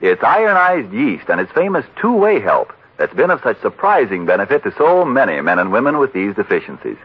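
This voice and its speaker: A male radio announcer in 1940s style, narrating and pitching with polished, emphatic salesmanship. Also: huge pitch swings, a little fast, breathy edge. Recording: muffled old radio recording.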